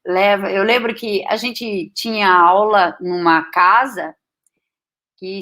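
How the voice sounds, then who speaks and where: A woman in her late fifties with German as her first language, giving a reflective personal talk to an audience, pausing often and restarting her sentences. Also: medium pitch, a bit bright. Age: 50s. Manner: slow, reflective, with many pauses and restarts. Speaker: guest speaker.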